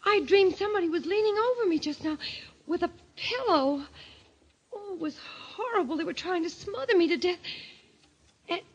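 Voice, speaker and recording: A woman playing a frightened, fretful young wife in an old-time radio drama, breathless, halting and melodramatic. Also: very high voice, lively intonation, lo-fi sound. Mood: shaken.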